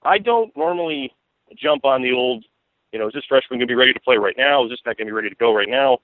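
An American man talks sports as an interview guest, at a rapid clip. He rambles and repeats himself for emphasis in a mid-pitched voice, heard over a narrow phone line. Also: expressive, dry recording. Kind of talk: conversational sports analysis.

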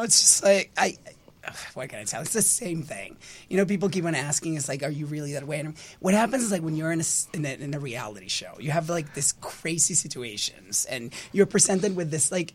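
Guest, male, raised pitch, voice recovering after surgery, lively storyteller. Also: a little fast. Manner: animated storyteller, quotes others in voices. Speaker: reality show guest. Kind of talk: casual interview chat.

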